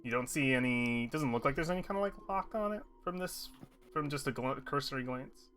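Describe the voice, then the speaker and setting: A man runs a tabletop game, describing rooms in a loose, rambling way. He drops in fillers and often restarts his sentences. His tone is bright and forceful, at a middle pitch. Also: lively intonation, fairly quick, faint music behind. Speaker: game master.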